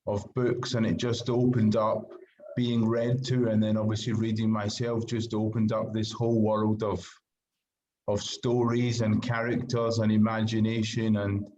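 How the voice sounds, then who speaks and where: A man's voice: on the low side, level tone, a little slow, a little mellow. A middle-aged man with a Scottish accent, answering casually as a writer being interviewed, heard through lo-fi call audio. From Glasgow, Scotland.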